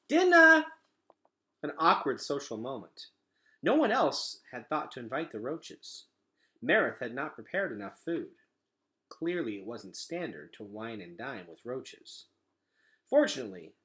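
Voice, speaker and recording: A man's voice: mid-range voice, big pitch swings, measured pace, a bit bright. A man reading a storybook aloud expressively, like a teacher.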